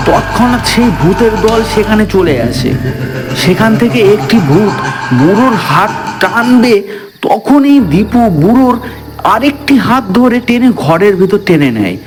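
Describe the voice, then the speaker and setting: A man narrating a scripted horror story in a dramatic, suspenseful manner. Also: sits high.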